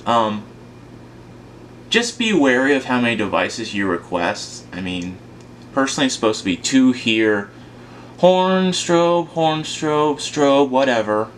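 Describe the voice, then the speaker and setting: A young man with a raspy, just-woken-up voice, rambling and correcting himself as he talks through his setup at home. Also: mid-range voice, fairly bright tone, a little hiss or hum. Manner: rambling, hesitant, self-correcting. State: raspy, tired morning voice. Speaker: fire alarm hobbyist. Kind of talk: casual talk to camera. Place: room at home beside a wall of alarms.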